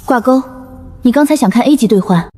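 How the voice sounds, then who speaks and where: Mellow, composed young woman survivor. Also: on the high side, animated, a little fast. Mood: concerned. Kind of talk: scripted animated drama acting.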